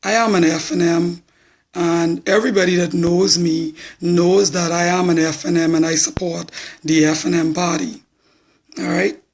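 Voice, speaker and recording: A hoarse man in his forties with a Bahamian accent, talking bluntly and plainly to viewers on a live social media stream. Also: high voice, little pitch movement, moderate pace. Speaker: political supporter.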